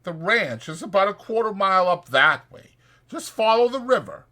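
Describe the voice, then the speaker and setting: A man performs a wiry, bossy drifter in an audiobook story. The voice is lifted and lively, with brisk, insistent, folksy talk and an irritable, protective edge. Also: high-pitched, voice pushed up, full character voice. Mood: instructive, stern. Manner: brisk, insistent, colloquial delivery. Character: small, sharp, irritable male ranch drifter, bossy yet protective.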